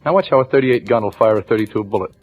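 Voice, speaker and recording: An actor playing a shrewd, weary police lieutenant in an old-time radio crime drama, with clipped colloquial delivery. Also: male voice, on the low side, racing, close to an everyday voice. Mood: triumphant, pressing. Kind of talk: old-time radio crime drama acting, first-person narration and dialogue. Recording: dull vintage radio recording with music.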